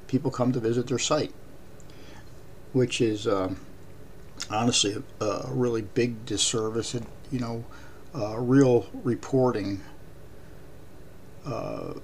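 A man hosting a podcast, raspy voice, talking haltingly with many ums and uhs. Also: medium pitch, darkish tone, a little hiss or hum. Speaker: podcast host. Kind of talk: casual podcast commentary.

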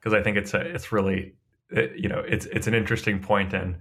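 A man's voice: fairly low pitch, flat delivery, fairly quick, fairly bright tone. A man hosting a conversational interview, putting his questions in a tentative, roundabout way. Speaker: podcast interviewer, host.

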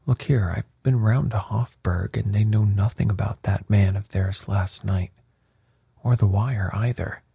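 A man's voice: on the low side, a little slow, dark tone, dry recording, studio quality, heavily acted. A man voicing a haggard, bewildered nobleman in an audiobook, flustered and spluttering. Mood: agitated, bewildered. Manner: flustered, breathy exclamations.

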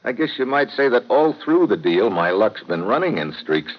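A man's voice: medium pitch, big pitch swings, normal speed, natural voice. A middle-aged American man playing a tough, wisecracking investigator in clipped, wry 1950s radio drama style. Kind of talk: 1950s radio drama acting, hard-boiled narration. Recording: dull, noisy old radio recording.

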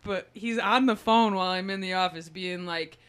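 A woman co-hosting a casual podcast with friends, telling stories in an animated way and swearing freely. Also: mid-range voice, moderate pace, clean tone. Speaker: podcast co-host. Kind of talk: casual chat among friends.

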